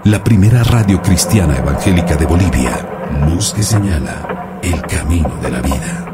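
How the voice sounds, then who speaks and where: A man's voice: sits low, lively intonation, moderate pace, very noisy. A man announcing a scripted radio station identification. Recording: loud music over announcement. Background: loud music.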